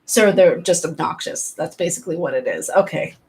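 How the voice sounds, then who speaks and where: A woman's voice: lowish voice, a little fast, a little mellow, a little hiss or hum. A young woman hosting her own channel, chatting casually, laughing often and exclaiming in amazement, repeating herself for emphasis. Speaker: channel host. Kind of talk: casual chat.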